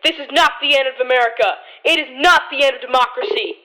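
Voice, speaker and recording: A woman's voice: high-pitched, fast, sharp tone, rough recording. A woman playing a defiant, commanding military-minded leader in an amateur audio drama.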